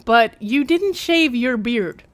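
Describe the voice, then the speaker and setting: A woman's voice: on the high side, expressive, slow pace, dry recording. A woman giving casual, playful live commentary as a game streamer, with wry asides.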